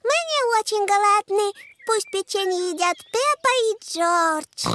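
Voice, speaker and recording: A woman voicing an eager, excitable little girl animal in a dubbed preschool cartoon. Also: extremely high pitch, measured pace, a little hiss or hum, heavily acted. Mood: eager, bright. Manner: simple childlike phrasing, eager. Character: cheerful excitable little girl piglet and young animal friends.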